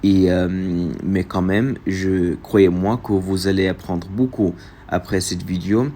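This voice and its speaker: A man from Saudi Arabia whose first language is Arabic, introducing his video in French with an Arabic accent, halting and apologetic. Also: sits low, steady tone, mellow, slight background noise. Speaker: vlogger.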